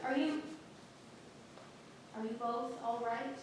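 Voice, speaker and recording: A woman's voice: on the high side, steady tone, slow. A woman playing a flustered, outspoken townswoman in a staged reading of a historical play, blunt and earnest. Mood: cautious. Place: theatre stage, recorded from the audience. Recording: echoey hall, distant mic, faint noise.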